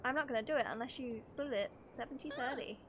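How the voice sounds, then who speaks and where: A woman's voice: fairly high pitch, quick pace, background noise. A woman game streamer giving casual, playful livestream reactions, giggly and often talking to herself.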